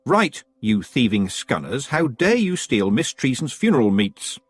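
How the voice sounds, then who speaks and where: A man voicing a sharp-tongued young witch girl who talks curtly and scolds. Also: medium pitch, sing-song, a little slow, husky, no echo. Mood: stern. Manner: curt, scolding.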